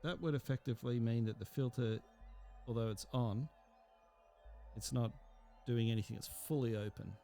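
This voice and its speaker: A man teaching a software tutorial, explaining step by step in an unhurried way and thinking aloud with fillers. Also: medium pitch, steady tone, vocal fry, faint music behind. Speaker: instructor. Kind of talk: screen-recorded software tutorial.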